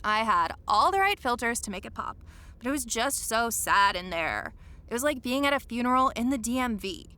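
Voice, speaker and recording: An actress playing a snarky young social media influencer in a comedy audio drama, mocking and slangy. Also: female voice, a little high, big pitch swings, moderate pace, pushed and bright. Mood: indignant, breezy. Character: snarky young female influencer obsessed with livestreaming.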